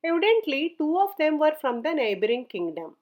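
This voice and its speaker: A woman's voice: sits high, big pitch swings, a little fast, darkish tone. A woman storyteller reading a scripted folk tale as narration.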